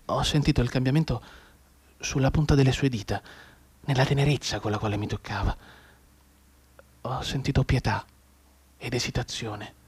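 Husky, anguished bedridden man. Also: medium pitch, steady tone, moderate pace, long pauses. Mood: tormented, subdued. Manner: intense, swinging between hushed brooding and anguished urgency. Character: mute, bedridden wounded man desperately tapping out Morse code. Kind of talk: dramatic radio monologue.

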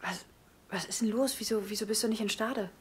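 A woman's voice: mid-range voice, fairly quick, noisy background, lightly acted. An actress playing a worried, exasperated young woman in a radio drama, moving between dialogue and first-person narration. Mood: worried, incredulous. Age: young adult. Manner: exasperated, questioning.

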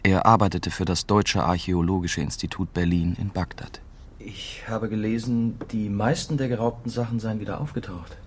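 An actor playing a young, unsure newspaper reporter who narrates and talks in a radio drama. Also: male voice, fairly low pitch, steady tone, moderate pace, a little hiss or hum, close to an everyday voice. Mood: tentative, probing. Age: young adult. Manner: hesitant in dialogue, sober in narration.

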